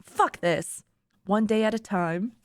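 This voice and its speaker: A woman voicing a flustered, easily offended young woman in a love story, expressive and defensive. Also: mid-range voice, slow, no echo, clean studio sound, close to an everyday voice. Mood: resigned.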